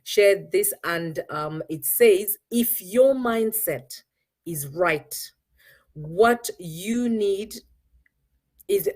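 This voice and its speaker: A woman's voice: medium pitch, expressive, drawn-out words, a little mellow. A middle-aged woman with a Ugandan accent gives an earnest motivational talk as host, switching between English and Luganda. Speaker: show host.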